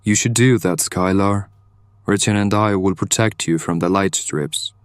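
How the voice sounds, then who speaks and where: A man's voice: deep voice, unhurried, no echo, clean studio sound. A man acting in an audio drama as a composed, protective leader of a band of survivors, giving directions steadily. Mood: firm, reassuring.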